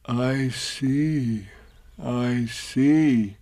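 A man voicing a humble, slow-witted, gentle toy bear in a children's story, speaking in a mild, simple, hesitant way. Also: medium pitch, no echo. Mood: dreamy, wistful. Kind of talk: storybook character voice.

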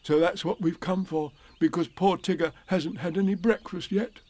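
A man voicing a mild, polite, slightly puzzled toy bear in a children's storybook, with gentle, earnest delivery. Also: high-pitched, steady tone, normal speed, dry recording, voice pushed up.

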